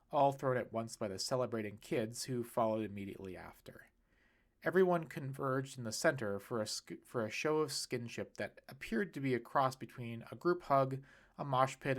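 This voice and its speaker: A man reading fiction aloud as an audiobook narrator, in an expressive scripted style. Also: mid-range voice.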